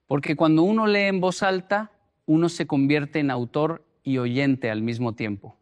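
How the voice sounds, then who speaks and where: A man speaking as a motivational speaker, deliberate and emphatic, repeating phrases for effect as he explains and tells stories. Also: on the high side, muffled recording. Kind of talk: motivational talk with storytelling.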